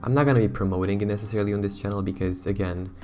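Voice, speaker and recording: A young man, hoarse from losing his voice, chatting casually and rambling to his viewers as a YouTuber. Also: fairly low pitch, steady tone, rapid-fire, darkish tone, faint music behind. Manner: chatty, rambling, self-conscious. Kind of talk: casual talk to camera.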